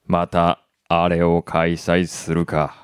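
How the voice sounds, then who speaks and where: An actor playing a calm, imperious company chairman in a radio drama, measured and polite but haughty. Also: low-pitched, smooth, dry recording, studio quality. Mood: sinister amusement. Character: calm, imperious male company chairman obsessed with human extremes. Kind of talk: Japanese radio drama acting.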